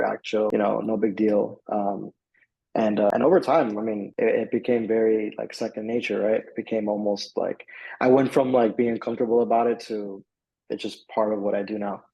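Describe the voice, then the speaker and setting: A young man from Miami talks through a casual interview in a low, dark, gravelly voice. His delivery stays flat and rambling, full of fillers and restarts. The sound is dull and lo-fi. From Miami, USA. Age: young adult. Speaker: client giving a testimonial.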